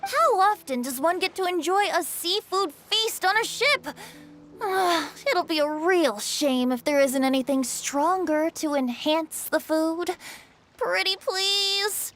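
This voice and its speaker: A woman's voice: very high voice, slow, a bit bright, slight background noise, exaggerated voice. A woman voicing a carefree boyish bard in a video game, playful, theatrical and wheedling. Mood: pleading.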